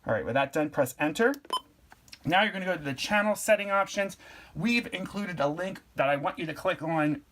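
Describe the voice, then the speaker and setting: A man from Pennsylvania walks through a device setup in a casual how-to style. His delivery is relaxed and plainspoken, one step at a time. His voice sits a little high for a man. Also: a little fast, slight background noise. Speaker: product reviewer and tutorial host.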